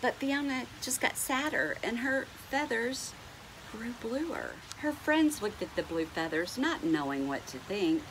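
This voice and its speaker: A middle-aged woman reads a picture book to little ones at an unhurried pace. Her voice swoops up and down as she stretches words and puts questions to the children. There is clear noise behind her in a home recording. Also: a little high, big pitch swings, a bit bright, low-quality recording. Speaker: storyteller reading to children. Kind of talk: expressive picture-book read-aloud with asides to young listeners. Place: indoors at home on a couch.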